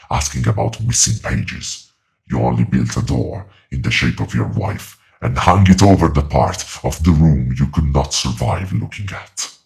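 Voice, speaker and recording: An actor playing an ancient otherworldly being in a horror audio drama, solemn and coldly knowing, speaking in slow, deliberate, ominous pronouncements. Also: male voice, darkish tone, full character voice. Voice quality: very deep, gravelly creaking voice.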